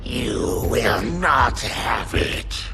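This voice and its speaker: A woman playing a menacing, vengeful female guardian in a video game, with a gravelly, theatrical delivery over music. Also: very deep voice, animated, heavily acted. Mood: defiant. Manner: slow, theatrical threats. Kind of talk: melodramatic video game acting. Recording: loud music underneath.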